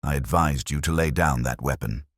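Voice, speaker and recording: A man voicing a cool, precise detective in an audiobook, calm, steady and authoritative. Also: rumbling low, normal speed, dry recording, studio quality.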